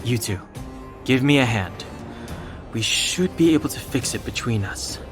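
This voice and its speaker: A calm young man, voiced by an actor at a medium pitch. He is terse and matter-of-fact, in a game scene with clear music behind him. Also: unhurried, lightly acted. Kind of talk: scripted game voice acting.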